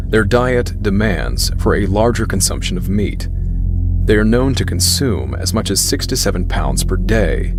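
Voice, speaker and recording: A man reads a scripted documentary war story in a measured, grave storytelling delivery. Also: on the low side. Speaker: narrator.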